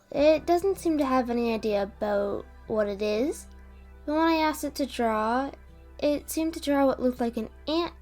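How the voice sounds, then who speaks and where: A woman playing a stressed, protective female scientist in an audio roleplay drama, speaking deliberately with sighs. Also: high voice, darkish tone. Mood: thoughtful.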